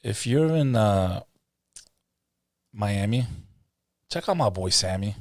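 A man hosting a casual interview show, rambling and name-dropping friends with shout-outs. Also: fairly low pitch, expressive, slow pace, dry recording, clean studio sound. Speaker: podcast host.

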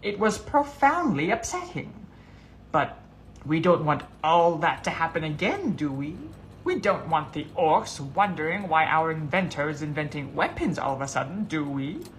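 A man voicing a shy, kindly, elderly male gnome in a storybook, hesitant, polite and gently pleading. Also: on the high side, sing-song, normal speed, a little hiss or hum. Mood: concerned, lamenting.

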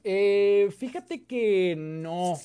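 A man with a Mexican accent and a soft, mellow tone that sits a little high for a man. He tells his stories loosely, full of fillers and restarts, as a guest in a casual sit-down chat. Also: drawn-out words, clean tone. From Mexico (Coahuila). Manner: relaxed, chatty, many fillers and repeats. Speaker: guest, content creator.

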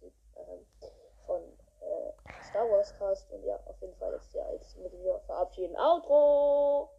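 A woman's voice: mid-range voice, expressive, a little slow, vocal fry, slight background noise. A woman hosting a podcast in a casual, rambling way with many fillers. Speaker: podcast host. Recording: dull lo-fi recording.

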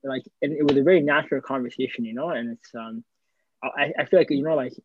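A man's voice: medium pitch, fast, husky, dry recording, lo-fi sound. A man co-hosting a podcast in casual chat, talking loosely with many "like" and "you know" fillers. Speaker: podcast co-host.

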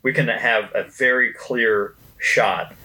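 Guy on a podcast with a pretty bright forward voice, casual, telling work stories. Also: mid-range voice, steady tone, slow pace, poor audio. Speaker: podcast guest, company co-worker. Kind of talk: casual podcast chat recounting company history.

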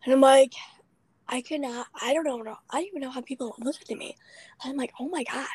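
Young woman podcast host, late teens, raspy and breathy, dry close sound, chatty and self-deprecating. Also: high-pitched, fast, darkish tone, no room sound. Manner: rambling, self-deprecating, many fillers. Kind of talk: casual chat.

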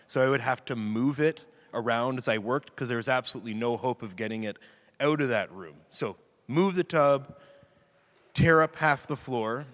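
Male preacher, casual and self-deprecating, slight rasp, medium pitch, big reverberant church sound. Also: a bit bright. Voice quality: slightly raspy from screaming into a mic. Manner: chatty, self-deprecating, laughs easily. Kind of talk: casual conversational sermon. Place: church sanctuary. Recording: long hall reverb.

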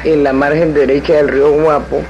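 A woman's voice: low-pitched, flat delivery, fairly quick, darkish tone, highs cut off, slight background noise. A woman recounting her own life. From Miranda state, Venezuela. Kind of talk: autobiographical recollection.

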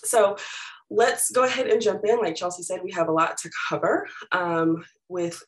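A middle-aged woman, a little raspy from allergies, giving a chatty webinar presentation with plenty of fillers. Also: lowish voice, moderate pace. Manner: casual and chatty, many fillers.

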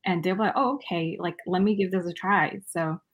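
A woman's voice: on the low side, fairly even intonation, normal speed, muffled recording. A woman from Malaysia, a guest answering interview questions in a hesitant way with many restarts.

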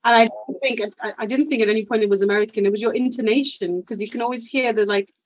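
A woman's voice: on the high side, fairly even intonation, quick pace, a little hiss or hum, low-quality recording. A young adult woman, a guest chatting casually in an interview, laughing often and talking with many fillers. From India and the United Kingdom.

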